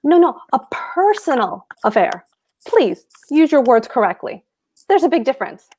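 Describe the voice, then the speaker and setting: A woman as a pompous, high-pitched husband. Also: very animated, normal speed, darkish tone, husky, full character voice. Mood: pompous, smug. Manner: pompous, emphatic, precise. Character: pompous, pedantic, blustering husband, a petty official. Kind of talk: stage comedy table read.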